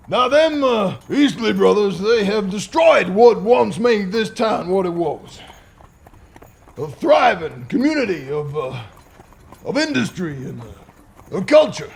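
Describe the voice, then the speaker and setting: An Old West sheriff with a high, creaky voice and a drawl. He blusters and hesitates in a folksy way, swinging widely in pitch as he makes his speeches for an audio drama. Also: slight background noise, full character voice. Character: blustering, hesitant small-town western sheriff rallying a posse. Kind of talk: western audio drama acting.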